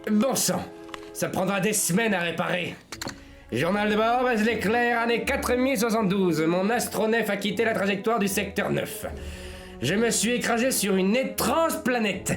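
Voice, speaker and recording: A man's voice: high-pitched, moderate pace, soft background music. A man voicing an earnest, pompous toy space ranger with a slightly nasal voice and grandiose, formal delivery, as amateur cartoon dubbing. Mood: grave, puzzled.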